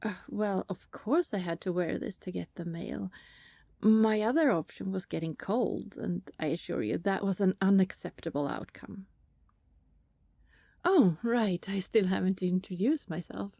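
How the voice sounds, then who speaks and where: A soft-spoken female vampire neighbor, acted by a woman in an intimate ASMR roleplay, friendly and fussing but keeping secrets. The voice is mellow and breathy with plenty of fry, often sinking toward a whisper, and the recording is very dry and close. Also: mid-range voice, measured pace, no echo. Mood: modest, chatty.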